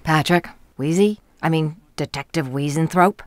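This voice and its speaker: Low-voiced no-nonsense woman coroner investigator , acted. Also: moderate pace, dry recording, lightly acted. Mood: exasperated. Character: no-nonsense female coroner investigator, exasperated, businesslike. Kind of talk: radio mystery dialogue.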